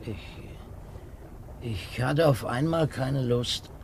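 Despairing, listless emigrant. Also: male voice, medium pitch, takes it slowly, gravelly. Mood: resigned, despairing. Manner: resigned, listless. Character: despairing, indifferent male stowaway emigrant who gave up. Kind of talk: 1950s German radio drama acting. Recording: old radio recording with faint noise.

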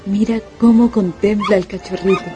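A woman's voice: mid-range voice, steady tone, normal speed, warm tone, faint music behind, lightly acted. An actress playing a caring, affectionate woman of the household in a radio drama. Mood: tender, delighted.

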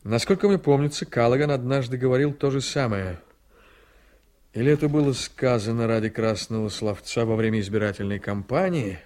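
Sardonic, deliberate man played by an actor. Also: medium pitch, a little mellow, close to an everyday voice. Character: sardonic man scrutinising a hostile newspaper editorial. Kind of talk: Soviet radio drama acting, reading aloud.

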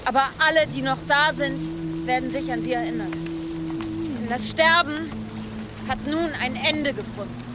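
An actress playing a resolute, grieving leader of a pagan band in a German audio drama, solemn and commanding. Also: female voice, high-pitched, lively intonation, measured pace, pauses often, noisy background. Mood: mourning, solemn.

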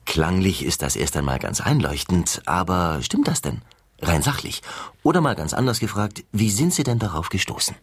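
An actor playing a wordy, mock-polite, sceptical interviewer in a satirical radio sketch. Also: male voice, low-pitched, sing-song, moderate pace, slightly rough, heavily acted. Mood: polite, probing. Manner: wordy, circumlocutory. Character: sceptical, long-winded male interviewer, mock-polite and probing.

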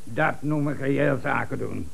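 A man's voice: mid-range voice, moderate pace, creaky, a bit bright. An actor playing a blunt, self-satisfied businessman, a shoe buyer, in a comic radio play. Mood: pleased. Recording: 1970s radio play recording.

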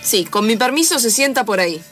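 A stern, formal recruiter with a high voice and a judgmental manner, played by a woman. The scene is an improvised radio play with music underneath. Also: expressive, fairly quick, a bit bright. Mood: disapproving.

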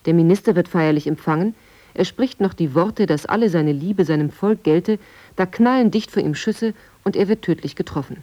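A woman with a deep, mellow voice reads from a script for a radio feature. She sounds formal and precise. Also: normal speed. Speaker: reader of quoted press texts. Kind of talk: scripted reading.

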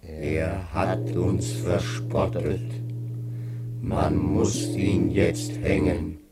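A man's voice: low-pitched, flat delivery, extremely slow. A man playing a solemn, gullible poor farmer of a Greek chorus, chanting in a German classical comedy radio play. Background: music.

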